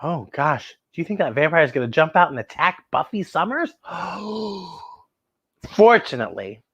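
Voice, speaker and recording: A woman hosting a children's story time, excitable and playful, with exaggerated expressive storytelling. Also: low voice, normal speed, breathy edge, muffled recording.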